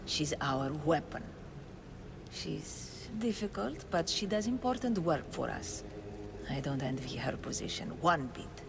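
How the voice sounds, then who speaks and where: A woman's voice: deep voice, big pitch swings, measured pace, gravelly, background noise, exaggerated voice. Effusive, swooping cultist woman. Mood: confiding. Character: fussy, eager secret cultist woman, officious greeter. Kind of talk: dramatic video game acting.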